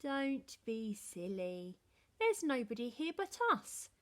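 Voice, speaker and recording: A woman voicing a huge, bossy giantess who booms loudly in a storybook reading. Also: sing-song, unhurried, heavily acted. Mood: scolding. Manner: loud, booming.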